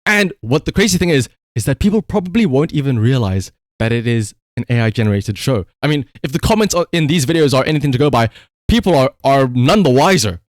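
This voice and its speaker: A man's voice: medium pitch, lively intonation, fast talker, no echo, studio quality. A man giving casual livestream commentary in a rambling, conversational, emphatic way, as the host talking to viewers.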